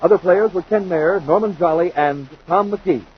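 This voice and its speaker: A man's voice: high voice, moderate pace, heavy background noise. An American man announcing from a script in 1950s radio style. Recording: dull 1950s radio recording.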